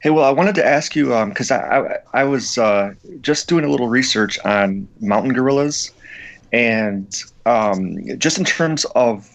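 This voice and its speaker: A man's voice: mid-range voice, expressive, unhurried. A man co-hosting, asking hesitant, speculative questions. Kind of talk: casual interview questions.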